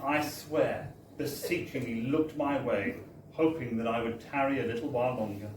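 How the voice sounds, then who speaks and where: A man giving a theatrical, wry live reading of a comic first-person story, with emphatic tag phrases. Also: mid-range voice. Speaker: reader of a story at a book launch. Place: bar, live event. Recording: roomy, distant live recording with background noise.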